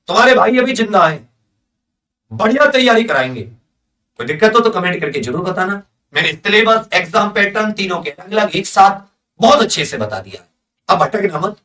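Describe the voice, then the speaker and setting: A man's voice: high voice, pushed and bright, recorded from a distance. A man with a North Indian accent, an exam coaching teacher briefing students emphatically, asking questions and answering them in a familiar big-brotherly way. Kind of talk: exam update addressed to students.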